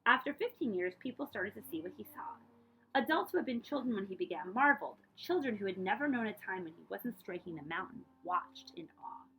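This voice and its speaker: A woman's voice: mid-range voice, brisk, slight background noise, low-quality recording. Woman reading a storybook aloud. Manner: expressive storytelling, putting questions to young listeners. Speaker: librarian reading a picture book aloud. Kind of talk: children's read-aloud with asides to listeners.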